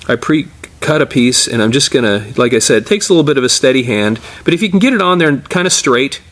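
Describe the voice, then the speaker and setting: Middle-aged male technician, medium-pitched voice, informal step-by-step demo delivery while working with his hands. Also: animated, fast, a bit bright. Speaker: tape repair and digitizing technician, presenter. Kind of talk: casual hands-on tutorial.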